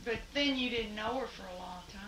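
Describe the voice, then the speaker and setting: A woman interviewing her parents at home in a brisk, coaxing way. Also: on the high side, a bit bright. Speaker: interviewer, family member. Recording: echoey room, distant mic, noisy home video.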